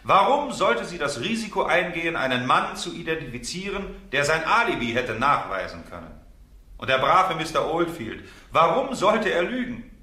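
An actor playing a grave, persuasive prosecutor in a radio play, with emphatic rhetorical questioning and a roomy echo. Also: male voice, fairly high pitch, very animated, moderate pace, sharp tone. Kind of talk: German radio play acting.

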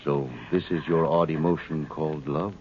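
An actor playing a cold, detached alien male scientist in a 1950s radio drama, with a flat, clinical delivery. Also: a bass voice, moderate pace, slight background noise. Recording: muffled old radio broadcast.

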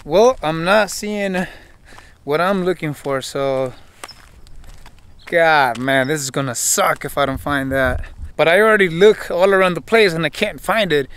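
A man's voice: on the high side, unhurried, a bit bright, clear, resonant tone. Young man vlogging outdoors, thinking aloud. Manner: thinking aloud, talking straight to viewers. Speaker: urban explorer vlogger. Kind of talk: casual vlog narration while exploring. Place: outdoors on open hillside with brush. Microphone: handheld camera at arm's length.

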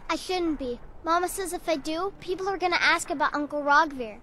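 A woman's voice: very high-pitched, brisk, pushed and bright, a little hiss or hum. A woman playing a chatty, innocent little village girl in a fantasy video game, earnest and childlike. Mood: wary, sad. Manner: wide-eyed, childlike, earnest.

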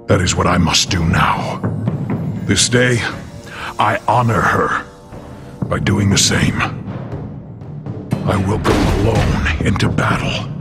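An actor playing a hulking, solemn, fiercely loyal alien warrior in a video game, speaking in formal, stilted, literal-minded sentences. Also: male voice, on the low side. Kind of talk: video game character acting.

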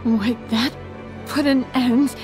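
A woman voicing a weary, brooding young anime scientist, refined and measured in manner. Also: fairly high pitch, steady tone. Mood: weary anguish. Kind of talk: animated visual novel acting. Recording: music behind the voice.

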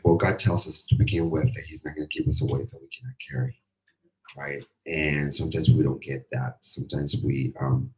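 A middle-aged man with a deep, strained voice gives halting personal testimony in a group discussion, repeating phrases for emphasis into a handheld microphone. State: voice strained from yesterday's screaming. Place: small indoor meeting room. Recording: roomy, dull handheld-mic sound.

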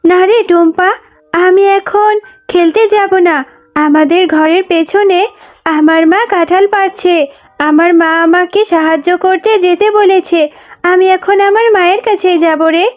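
A woman's voice: very high voice, steady tone, unhurried. A woman voicing a kind-hearted little tiger girl in a cartoon, childlike and coaxing. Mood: reluctant. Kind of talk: cartoon voice acting. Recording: music under the voice.

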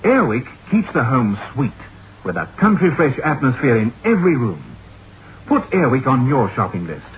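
Old time radio ad guy, really lively delivery, thin tinny recording. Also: medium pitch, normal speed, a little hiss or hum. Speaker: commercial announcer. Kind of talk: vintage radio advertisement read.

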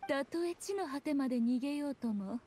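A haughty fox-spirit priestess from an anime game. Her voice sits a little high, and she speaks in an archaic, imperious way, composed and full of sly scorn. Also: animated, brisk, warm tone. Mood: cool, threatening. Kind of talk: Japanese anime-style game acting.

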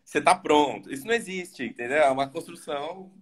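A young man from Brazil, a former student, chatting on a video call in a relaxed, halting way with many fillers. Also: on the high side. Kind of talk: casual video call chat. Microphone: webcam on a video call.

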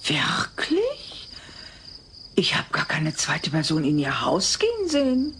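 Nosy, flirtatious neighbor woman, lively delivery. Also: low-pitched, takes it slowly, heavily acted. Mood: coy. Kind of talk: dubbed sitcom audio play. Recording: noisy old cassette transfer.

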